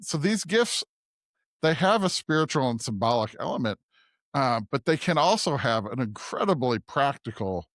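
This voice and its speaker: A man co-hosting a podcast as a preacher, discussing scripture conversationally in a halting way, searching for words and often checking in with "right?". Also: medium pitch, sing-song, fairly bright tone, no echo, studio quality.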